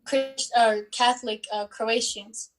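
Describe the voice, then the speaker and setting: A woman in her twenties, born in Bosnia and Herzegovina, answering interview questions in an unhurried way with many pauses and fillers. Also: on the high side, fairly even intonation. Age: 20s. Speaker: guest, student athlete.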